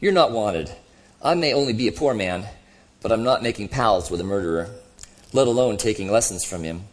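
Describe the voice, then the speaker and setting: A man's voice: on the low side, expressive, a little fast, pitched above the performer's own voice. A man voicing a sulky, belligerent ghost of a working-class boss in a storybook reading, blustering and self-righteous. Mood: angry.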